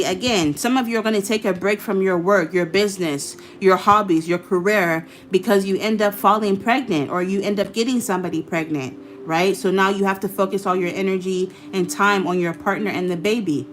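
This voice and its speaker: A woman's voice: mid-range voice, brisk, slight background noise. A woman giving a casual, chatty tarot reading, talking freely, repeating herself and swearing now and then. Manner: chatty, repetitive, casually profane. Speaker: tarot reader.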